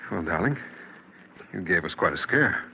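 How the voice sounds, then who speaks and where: A man's voice: low-pitched, expressive, moderate pace, creaky. An actor playing a devoted, trusting husband and father in a 1940s radio drama, earnest and soothing. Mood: reassuring. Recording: muffled, hissy 1940s radio recording.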